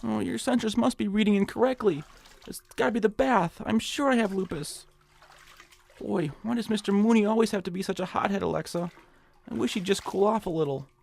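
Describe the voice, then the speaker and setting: An actor playing a nervous, hapless hypochondriac in an audio drama, fretful and stammering. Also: male voice, high-pitched, fairly quick, creaky, a little mellow. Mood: self-pitying, whiny. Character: nervous, whiny nebbish hypochondriac man, eager and hapless. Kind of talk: audio drama acting in old-time radio suspense style.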